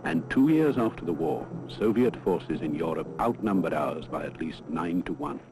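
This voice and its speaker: A man's voice: extremely low pitch, animated, moderate pace, music in the background. A British man delivering scripted narration for a documentary film. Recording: noisy old film soundtrack.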